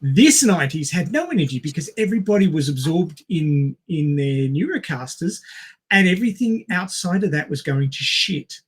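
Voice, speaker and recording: A middle-aged man in a casual film discussion. His voice is fairly high for a man, with a husky edge. He talks emphatically, like a co-host with firm opinions. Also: expressive, normal speed. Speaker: podcast co-host.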